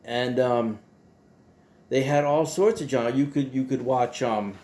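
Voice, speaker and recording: A man hosting a casual monologue to his viewers in a folksy, playful way, halting and repeating himself as he goes. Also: mid-range voice, fairly even intonation, darkish tone. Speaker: host.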